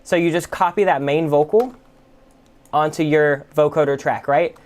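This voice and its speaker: A man's voice: a little high, fairly even intonation, normal speed. Casual man giving a tutorial. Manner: informal, conversational. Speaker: music production tutorial host. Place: indoors at a computer.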